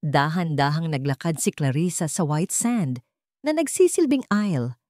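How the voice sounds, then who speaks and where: Low-pitched woman narrating a dramatic story. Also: animated, normal speed, darkish tone, dry recording, studio quality.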